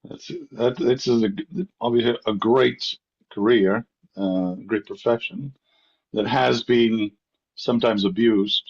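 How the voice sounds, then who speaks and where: A man's voice: medium pitch, fairly even intonation, measured pace, vocal fry, darkish tone, dull audio. A man leading an online course session over a video call, talking with hesitant fillers. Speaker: course instructor. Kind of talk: leading an online class discussion.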